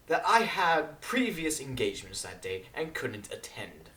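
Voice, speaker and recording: A man voicing a prim, haughty, cultured female pony cellist with a deliberate, disdainful manner in a story reading. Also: medium pitch, very animated, sharp tone, voice pushed up. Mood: stiff, defensive. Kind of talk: amateur dramatic fan fiction reading. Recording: echoey room with audible background noise.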